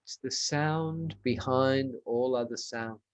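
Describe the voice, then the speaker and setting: Male meditation teacher, unhurried pace, short spaced phrases, measured instructional delivery. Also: mid-range voice, smooth. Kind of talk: guided meditation.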